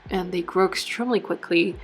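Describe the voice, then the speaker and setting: Casual vlogger, woman with a cold, English as a second language, lowish voice, light music bed. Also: a little fast. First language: not English. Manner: chatty, rambling, lots of fillers and self-corrections. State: has a cold. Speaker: bullet journal vlogger. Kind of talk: casual chat.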